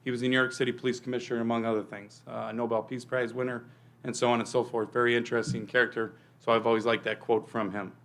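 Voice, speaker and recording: A man, a police chief, presenting an update to a village board in a meeting room, talking loudly with many fillers. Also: mid-range voice, level tone, fast talker. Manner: speaks loudly without a microphone, filler-heavy.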